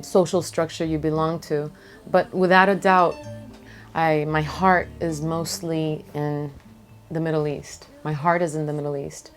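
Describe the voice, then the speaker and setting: Low-voiced woman, thoughtful and halting, over music. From Venezuela. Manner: thoughtful, halting, pausing mid-phrase. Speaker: singer-songwriter being interviewed. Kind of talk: reflective interview talk.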